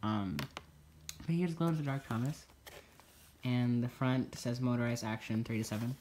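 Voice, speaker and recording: A man's voice: mid-range voice, normal speed, darkish tone, a little hiss or hum. A young man with a stuffy nose chatting casually while unboxing a toy at home, rambling with lots of fillers. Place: indoors at a table in a home room.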